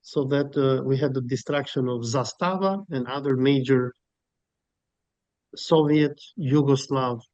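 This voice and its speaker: A man's voice: medium pitch, measured pace, a little mellow, dry recording, highs cut off. A man from Albania giving an emphatic online lecture as guest speaker, with frequent filler sounds. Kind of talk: academic webinar lecture and Q&A.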